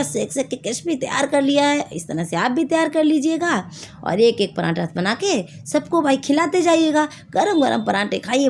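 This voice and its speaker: A woman hosting a home cooking video, hoarse from a sore throat, chatting to viewers as she explains each step. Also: high voice, darkish tone, a little hiss or hum. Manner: chatty, addresses viewers directly. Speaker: cooking channel host.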